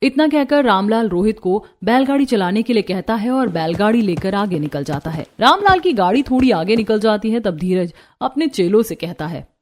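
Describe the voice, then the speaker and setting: A woman's voice: mid-range voice, expressive, normal speed, few pauses, a little mellow. A woman narrating a scripted cartoon story as its storyteller.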